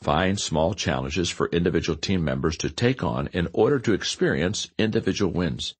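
Deep-voiced man narrating an audiobook, lively intonation. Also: moderate pace, slightly rough. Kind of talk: scripted nonfiction audiobook narration.

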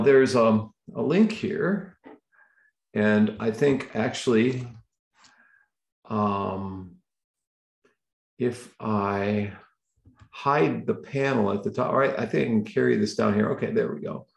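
Male professor, gravelly voice, echoey room, rambling class host on a call. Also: medium pitch. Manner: rambling, with fillers. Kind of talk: online class facilitation. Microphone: video call.

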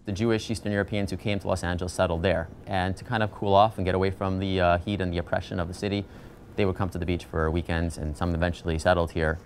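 A man's voice: deep voice, little pitch movement, fast talker, slight background noise. A man in his thirties, a rabbi from the US East Coast, speaking in an earnest, reflective, conversational way in a documentary interview. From United States, East Coast. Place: outdoors on a shaded porch. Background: soft music.

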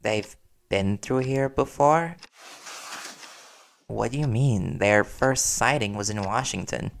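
Worried reporter boyfriend played by a man, audio roleplay; creaky, conversational, earnest and gently confrontational. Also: on the low side, takes it slowly. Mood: concerned, disappointed.

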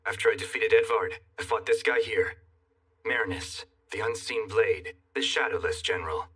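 An actor playing a cocky young fantasy hero in an animated dub, narrating and bantering with smug, sardonic wit. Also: male voice, fairly low pitch, normal speed, husky, distant mic. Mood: wry narrating. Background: music.

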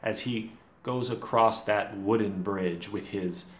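A man in his thirties, a teacher leading a read-along story lesson for children, clear, encouraging and deliberate. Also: fairly low pitch. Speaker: teacher, host. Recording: roomy home recording.

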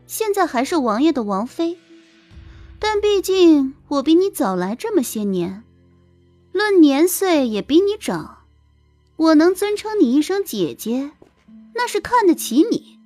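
A woman voicing a haughty, menacing young concubine in an audio drama, sneering and scolding with drawn-out threats. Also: high-pitched, very animated, darkish tone, faint music behind, voice pushed up. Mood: condescending. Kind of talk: audio drama character acting.

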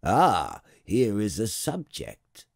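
A man's voice: on the low side, huge pitch swings, slow, gravelly, no echo, clean studio sound, full character voice. Man putting on a pompous, imperious storybook king. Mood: pompous, commanding. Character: pompous, kindly absolute king, vain but reasonable.

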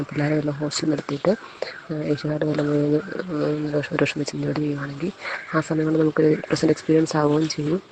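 A woman's voice: deep voice, creaky, background noise. A woman with a central Kerala accent talking casually to a friend in a recorded phone call.

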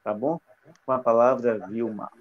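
A man's voice: mid-range voice, steady tone, moderate pace. A middle-aged man hosting an online academic debate on a headset mic, speaking with frequent fillers and restarts. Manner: hesitant, many fillers and restarts. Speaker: event host and philosophy department coordinator. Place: small room indoors. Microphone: earphone headset on video call. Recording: dull lo-fi video call audio.

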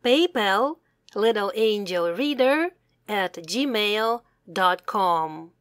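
A young woman teacher reading aloud a simple language lesson for children, saying words deliberately and separately, with exclaimed prompts. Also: medium pitch, animated, dry recording, clean studio sound. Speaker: English teacher.

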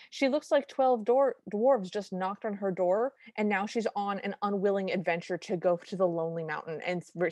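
Deadpan woman podcaster, dry close sound. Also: medium pitch, no room sound. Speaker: podcast co-host. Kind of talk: casual, joking film recap.

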